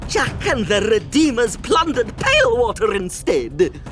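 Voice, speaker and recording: A woman's voice: a little high, wildly swooping pitch, unhurried, faint music behind. A woman playing a pompous, fussy male royal adviser with a British accent in an audio drama table read. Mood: grave, declamatory. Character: fussy theatrical male royal adviser who spins and dithers.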